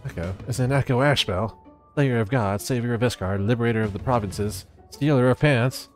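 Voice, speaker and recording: A man voicing an excitable, pompous tabloid reporter with a bombastic, dramatic delivery for a video game. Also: medium pitch, brisk, faint music behind, close to an everyday voice. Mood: grandiose. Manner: bombastic, declamatory, stammering in alarm.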